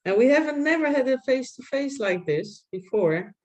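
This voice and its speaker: A woman with a Dutch accent, a guest chatting on a video call, speaking haltingly with many restarts and fillers. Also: sits high, animated, darkish tone. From Netherlands. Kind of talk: casual video call chat.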